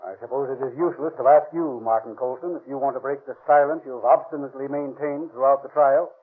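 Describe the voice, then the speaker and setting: An actor in a 1930s radio drama playing a stern judge who addresses convicted men formally. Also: male voice, medium pitch, fairly even intonation, quick pace, breathy edge, close to an everyday voice. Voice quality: formal, solemn. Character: stern male judge passing sentence. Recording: tinny old radio broadcast, off-mic.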